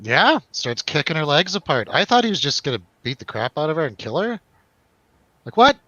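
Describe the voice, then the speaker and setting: A man with a bright, forward voice talks casually about films among friends. He is informal and prone to exclaiming and restarting. The sound is dry and a little dull. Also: fairly high pitch, very animated, brisk, no echo. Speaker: film review show host. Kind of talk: casual film discussion with friends.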